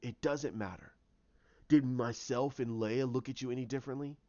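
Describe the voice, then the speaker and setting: A man voicing a grave older Jedi mentor, speaking earnestly and in a measured, subdued way. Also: medium pitch, steady tone, darkish tone, muffled recording, close to an everyday voice. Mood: earnest, consoling.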